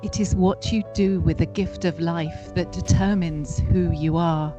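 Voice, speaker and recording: A woman playing a wise, reflective figure, delivering a measured, solemn film speech. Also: lowish voice, steady tone, over music, pitched below the performer's own voice, lightly acted.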